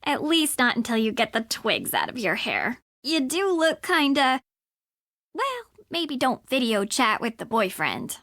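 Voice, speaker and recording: A sardonic young sidekick in a video game. Her voice is on the high side, casual and teasing, with a sceptical edge. It is recorded very dry. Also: big pitch swings, unhurried, fairly bright tone, dry recording, full character voice. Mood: sarcastic. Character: sardonic, sceptical young woman, detective's wisecracking friend.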